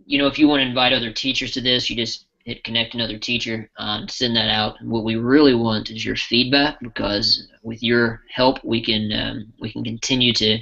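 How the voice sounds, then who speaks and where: A man walks through a software tool in a casual live demo, his voice bright with a gravelly edge. He talks loosely and restarts his phrases often. The sound is dull and lo-fi, like webinar audio. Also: medium pitch, flat delivery, a little fast, few pauses. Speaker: software trainer.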